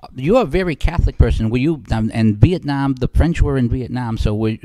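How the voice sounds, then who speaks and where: A man's voice: medium pitch, fairly quick, dark tone, a little hiss or hum. A man in his fifties hosting an interview, friendly and often restarting his questions. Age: 50s. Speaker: interviewer and host. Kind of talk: conversational interview.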